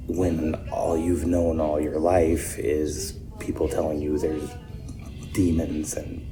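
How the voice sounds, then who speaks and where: A male actor as a deep-voiced skeptic in an audio drama, rattled but trying to sound reasonable. He speaks slowly and haltingly, tripping over his words in a natural, conversational way. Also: rough recording. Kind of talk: naturalistic fiction podcast acting.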